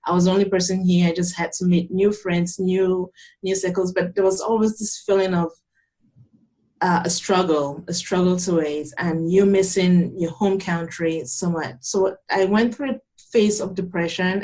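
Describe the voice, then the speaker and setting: A young adult woman from Cameroon, a yoga teacher calmly and earnestly explaining her practice in an interview. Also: on the low side, normal speed. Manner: calm, earnest, explanatory with frequent restarts. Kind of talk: interview answers, conversational.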